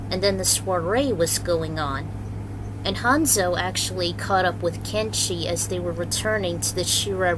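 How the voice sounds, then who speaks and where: A woman's voice: on the low side, noisy background. A woman hosting and reading a story aloud in a casual, informal, unpolished way. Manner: informal, unpolished, swears freely. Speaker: host and reader of own fan fiction series.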